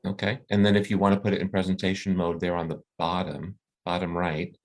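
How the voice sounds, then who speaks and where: Deep-voiced man, casual host. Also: monotone, a little fast. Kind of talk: casual chat. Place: online video call.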